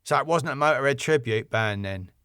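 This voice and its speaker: A man's voice: medium pitch, expressive, a little fast, sharp tone, clear, resonant tone, no room sound, clean studio sound. Man playing a guileless, puzzled sitcom bloke.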